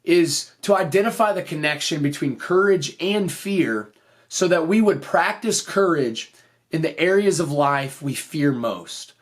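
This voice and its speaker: Higher-pitched man, earnest podcast host. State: voice slightly off after losing it. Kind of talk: motivational podcast talk.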